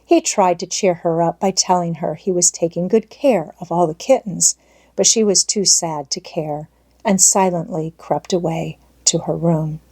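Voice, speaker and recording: A woman's voice: fairly low pitch, moderate pace, dark tone, husky. A woman reading a children's storybook aloud as an audiobook narrator.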